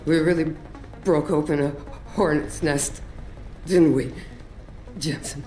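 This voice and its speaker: A wounded game operative, tough and wry, talking slowly in a dark, soft tone. She sounds weak and in pain but determined, in an echoey space. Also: sits low, fairly even intonation. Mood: pained urgency. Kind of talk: video game dramatic acting. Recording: echoey space with faint music.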